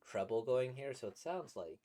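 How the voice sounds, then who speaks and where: A man with a slightly squeaky, trebly voice, a voice actor casually reacting to comics in a rambling, playful way. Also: lively intonation, moderate pace, mellow. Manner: rambling, playful, laughs at his own jokes.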